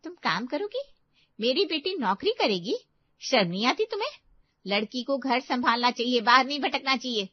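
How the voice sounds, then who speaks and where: A woman's voice: high-pitched, expressive, moderate pace, highs cut off. A woman playing a haughty, scornful middle-aged mother in a story. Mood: indignant.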